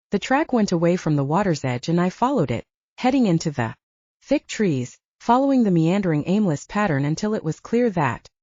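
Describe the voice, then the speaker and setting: Mellow woman narrator, animated pitch, dull audio. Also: no room sound.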